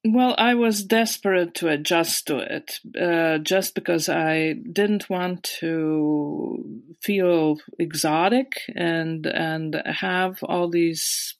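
A woman's voice: fairly low pitch, takes it slowly, no room sound. A woman in her sixties with a Latvian accent, recalling her past in an interview, hesitant with many fillers and restarts. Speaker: guest. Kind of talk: interview recollection.

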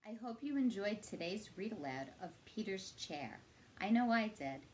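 A middle-aged woman reading a storybook aloud for children in a deliberate, expressive, clearly enunciated way. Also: medium pitch, slight background noise, low-quality recording.

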